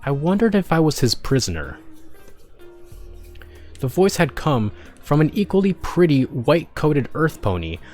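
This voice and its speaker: A man's voice: on the high side, very animated, a little slow, long pauses, a little mellow. Man reading a story aloud over music. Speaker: streamer reading fan fiction aloud. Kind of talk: expressive first-person story narration. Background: background music.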